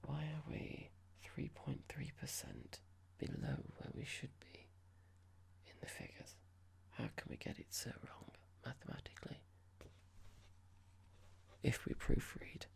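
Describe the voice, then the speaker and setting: A performer voicing a horrible, condescending office boss in a whispered ASMR roleplay, mock-polite and slow, asking pointed, patronising questions. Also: medium pitch, big pitch swings, creaky, heavily acted. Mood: condescending, stern.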